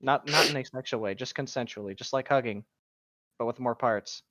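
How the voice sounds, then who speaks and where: A man joking casually with friends in a deadpan way over a dull-sounding voice call. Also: medium pitch, brisk, no room sound.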